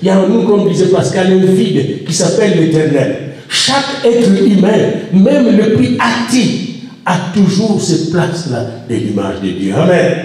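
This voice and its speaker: Echoey, animated high-pitched male preacher. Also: a little slow. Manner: emphatic delivery with repeated exhortations and addresses to the congregation. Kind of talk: sermon. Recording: echoey room, distant microphone.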